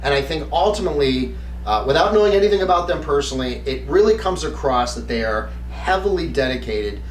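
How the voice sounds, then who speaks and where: A man's voice: on the high side, animated, brisk. A middle-aged man hosting a review in an earnest, emphatic way, speaking to camera in a semi-scripted style. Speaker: host, tabletop game reviewer. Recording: roomy, distant mic, faint background noise.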